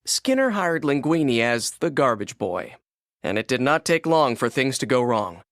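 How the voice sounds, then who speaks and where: A man narrating a children's read-along storybook in an expressive, scripted storytelling manner. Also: mid-range voice, normal speed, dry recording, studio quality.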